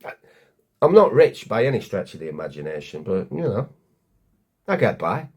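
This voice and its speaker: An actor playing a weary, bitter, divorced former Lord Mayor in a wry, confiding comic monologue. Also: male voice, lowish voice, big pitch swings, moderate pace, darkish tone, husky. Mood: resigned, reflective.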